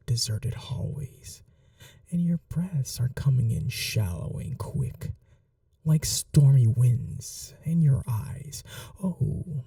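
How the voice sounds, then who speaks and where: A woman playing a cruel, possessive vampire mistress in an ASMR roleplay, speaking slowly in breathy half-whispers with menacing tenderness. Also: extremely low pitch, warm tone, no room sound, studio quality, distant mic, exaggerated voice. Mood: taunting, tender. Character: cruel, possessive vampire mistress, silkily taunting her betrothed captive. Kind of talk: ASMR audio roleplay, dramatic acting.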